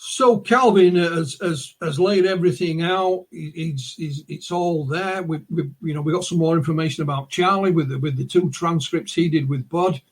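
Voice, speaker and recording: Husky Northern English man telling stories. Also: fairly high pitch, normal speed, hardly any pauses. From North of England. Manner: anecdotal, retells witnesses' words, frequent stammered restarts. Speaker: guest, UFO researcher and author. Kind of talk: podcast interview, storytelling.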